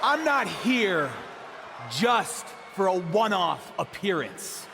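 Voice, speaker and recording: An actor playing a cocky champion wrestler cutting an emphatic, halting promo on the microphone in a video game. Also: male voice, high voice, very animated, creaky, full character voice. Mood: defiant. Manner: emphatic, halting phrases for effect. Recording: echoey arena PA with crowd noise.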